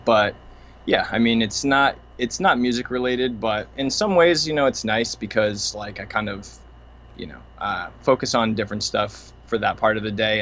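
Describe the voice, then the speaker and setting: Low-voiced easygoing man from Maine. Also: fairly bright tone, dull audio, slight background noise. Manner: easygoing, lots of fillers. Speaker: guest, music producer. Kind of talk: casual chat.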